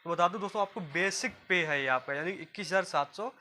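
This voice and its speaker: A man's voice: high-pitched, fast talker, pushed and bright, a little hiss or hum. A man from gives an informal, repetitive explainer to viewers as a job guidance presenter. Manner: repetitive, explanatory, often addressing listeners as friends.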